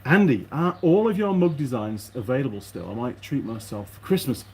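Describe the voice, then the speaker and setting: A man's voice: mid-range voice, animated, a little fast, slight background noise. A man chatting casually and unscripted to his viewers as a mechanic vlogger, rambling along and thinking aloud in his workshop.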